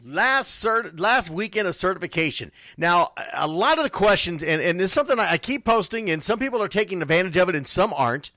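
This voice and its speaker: A man's voice: high-pitched, animated, fairly quick, hardly any pauses, sharp tone, no echo. A man hosting a sports radio show, talking casually in a chatty, folksy way.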